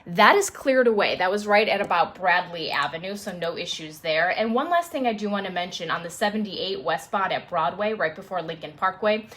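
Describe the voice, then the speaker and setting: A woman presenting traffic and news live from her apartment dining room on a phone, chatty off air and brisk on air, a little sniffly. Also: fairly low pitch, expressive, fairly bright tone. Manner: chatty and self-deprecating off air, brisk and upbeat on air. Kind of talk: casual live stream chat and on-air traffic report.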